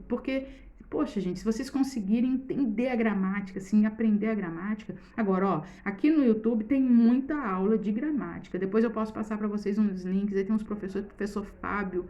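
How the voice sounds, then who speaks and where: A woman's voice: mid-range voice, dark tone, dull audio. A middle-aged woman from Brazil teaching an online essay-writing class in a casual, encouraging way, talking straight to her students. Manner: chatty, encouraging, addresses students directly.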